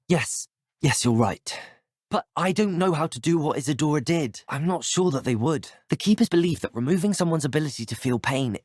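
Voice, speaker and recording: A man's voice: on the high side, no echo, clean studio sound. A man voicing a polite, earnest teenage student wizard in a video game, speaking scripted lines in a thoughtful, well-spoken manner. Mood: earnest, concerned. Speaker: video game player character.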